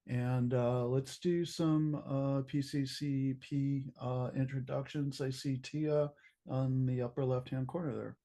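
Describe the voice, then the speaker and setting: A man with a cold and a hoarse voice chairs a public meeting over a video call, halting with many fillers. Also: mid-range voice, steady tone, warm tone. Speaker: subcommittee co-chair.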